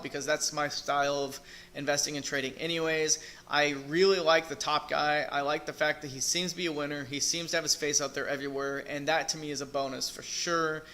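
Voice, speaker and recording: A man's voice: a little high, steady tone, fairly quick, sharp tone. Man with a worn, fading voice. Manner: hesitant, thinking aloud with fillers and restarts. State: losing his voice, clearing throat. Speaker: crypto video reviewer. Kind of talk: casual screen-share review.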